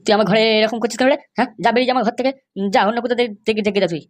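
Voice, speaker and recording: Woman voicing a fast-talking angry old grandfather. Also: a little high, steady tone, lightly acted. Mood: threatening. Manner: scolding, threatening. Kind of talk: amateur comedy cartoon dub.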